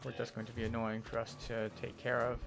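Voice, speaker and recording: A man with a mid-pitched voice talks over clear background music. He gives explanatory, matter-of-fact game walkthrough commentary as a tour guide. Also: completely flat delivery, brisk.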